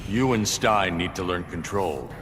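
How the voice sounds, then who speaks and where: Very deep, grim vigilante. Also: male voice, unhurried. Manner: grave, admonishing. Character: grim, commanding, controlled adult male vigilante mentor. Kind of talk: video game battle banter. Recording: long reverb, loud battle noise.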